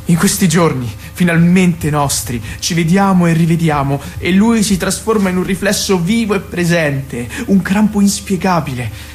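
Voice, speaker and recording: A man acting a higher-voiced, teasing, insistent lover. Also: lightly acted. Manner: teasing, probing, by turns lyrical and confessional. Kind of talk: radio play dialogue and monologue.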